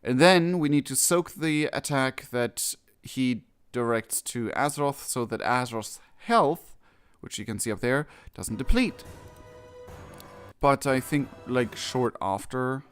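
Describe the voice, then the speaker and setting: A man's voice: medium pitch, animated, unhurried, faint music behind. A man giving casual live reaction commentary on a game, rambling and often rewording himself. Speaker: streamer reacting to game cutscenes.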